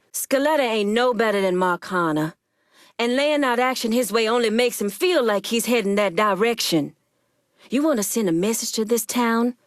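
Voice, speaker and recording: An actress plays a proud, hard-edged woman crime boss from a video game. She talks bluntly and deliberately, with streetwise authority and a profane tongue. Her pitch sits in the middle for a woman, and the recording is close and dry. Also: dry recording, close to an everyday voice. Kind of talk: dramatic video game character acting.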